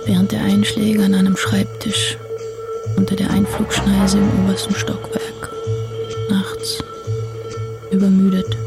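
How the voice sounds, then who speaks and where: A narrator of terse corrections in a literary radio drama. Her mid-pitched voice moves very widely in melody, though the phrases stay clipped. Loud music sits right over her. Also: big pitch swings, a little slow, pauses often.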